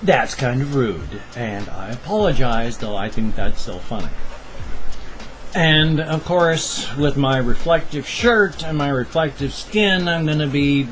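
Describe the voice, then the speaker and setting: A man's voice: medium pitch, animated, a little slow, fairly bright tone, a little hiss or hum. A man in his fifties rambling through a casual daily vlog at home, with jokey asides, recorded on a webcam. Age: 50s. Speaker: vlogger.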